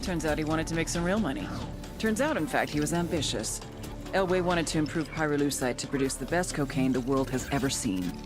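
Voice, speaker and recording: A tough, foul-mouthed intelligence officer in a game. Her voice is low and her delivery is blunt, wry and intimidating, with music clearly playing behind her. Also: expressive, brisk. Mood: wry briefing. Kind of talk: video game briefing narration and interrogation.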